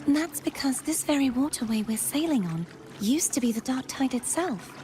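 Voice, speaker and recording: A woman's voice: high-pitched, animated, moderate pace, background noise. A woman voicing a composed, earnest young guide with powers in a video game. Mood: focused. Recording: game music behind the voice.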